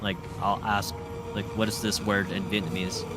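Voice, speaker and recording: A man's voice: lowish voice, little pitch movement, moderate pace, over loud music. A young man chatting outdoors, giving short, reluctant answers. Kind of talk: casual chat. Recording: noisy outdoor street recording.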